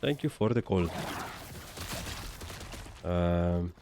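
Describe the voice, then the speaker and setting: A man's voice: low voice, lively intonation, drawn-out words, gravelly, background noise. A man from Estonia with an Estonian accent, casually commentating on a game and chatting as a livestreamer. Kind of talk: casual live gameplay commentary and chat.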